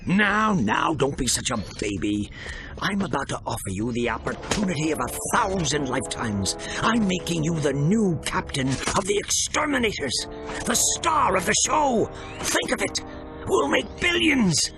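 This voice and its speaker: Greedy alien mogul, video game villain, theatrical, huckster delivery, very animated, prominent music behind. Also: male voice, a little high, measured pace. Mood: scheming, boastful. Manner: bombastic, theatrical sales patter. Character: greedy, scheming alien media mogul villain, flamboyant showman. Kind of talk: video game cutscene acting.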